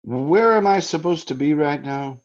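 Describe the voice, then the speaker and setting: A man's voice: on the high side, animated, moderate pace. A man playing a baffled, impatient husband in an old-time radio drama. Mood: exasperated. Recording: slightly dull, lo-fi sound.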